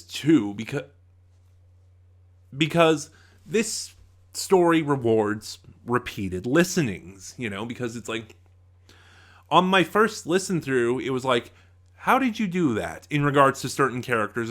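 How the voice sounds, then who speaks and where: A man reviewing in a casual, unscripted way as host, halting at times, trailing off and circling back mid-thought. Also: mid-range voice, lively intonation, fairly bright tone.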